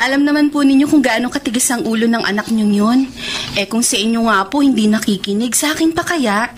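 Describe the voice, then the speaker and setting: A woman's voice: on the high side, normal speed, close to an everyday voice. An actress playing a frank, slightly hurt young woman in a radio drama, with a pointed manner. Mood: polite. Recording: faintly noisy radio drama recording.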